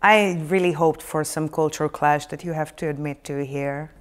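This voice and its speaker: Wry, lively woman moderating a panel. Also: deep voice, moderate pace, smooth. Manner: lively, wry, asks pointed questions. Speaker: podcast host.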